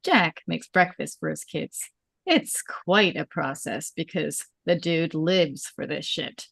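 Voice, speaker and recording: A woman's voice: sits low, takes it slowly, vocal fry, a bit bright, dry recording. A woman narrating a script at a table read, reading out scene headings and stage directions.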